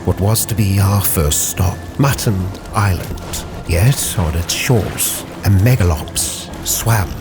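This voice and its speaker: Low-voiced man solemnly narrating a sea tale. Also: expressive. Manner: measured, solemn storytelling with hushed phrase endings. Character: sea captain narrating his log. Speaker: narrator and roleplayer. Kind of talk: dramatic in-character story narration. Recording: music under the voice.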